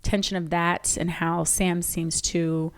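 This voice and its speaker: A woman's voice: lowish voice, level tone, slow. Chatty woman reviewing a movie. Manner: chatty, hesitant with many ums. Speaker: podcast co-host. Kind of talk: casual movie review chat.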